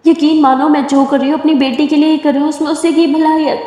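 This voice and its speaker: A woman's voice: high voice, little pitch movement, quick pace, warm tone, voice pushed up, natural voice. A woman voicing an angry, possessive mother scolding a young woman, recorded in an echoey room. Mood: stern. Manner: scolding, firm. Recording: echoey room with long reverb.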